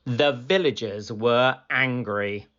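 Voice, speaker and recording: A woman reading a picture book aloud for children in an animated, deliberate storytelling manner. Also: a bass voice, highs cut off.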